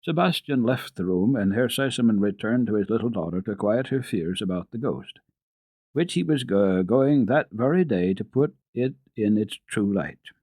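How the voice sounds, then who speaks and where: A man's voice: lowish voice, animated, normal speed, mellow, no room sound. A man reading a classic children's story dramatically, as a radio storyteller. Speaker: storyteller, radio program host.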